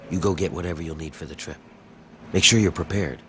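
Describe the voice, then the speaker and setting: An actor playing a weary, terse slave rebel in a video game, gruff and businesslike. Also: male voice, deep voice, fast talker, pushed and bright, slight background noise.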